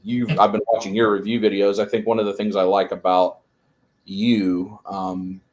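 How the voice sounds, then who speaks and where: A man's voice: fairly low pitch, little pitch movement, normal speed, darkish tone. A man in his early forties hosting a casual live-stream interview, chatty, with frequent stumbles and restarts. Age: 40s.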